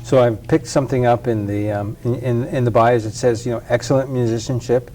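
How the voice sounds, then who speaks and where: A man's voice: mid-range voice, fairly even intonation, brisk, darkish tone, a little hiss or hum. A man hosting an interview, asking friendly, rambling questions full of fillers.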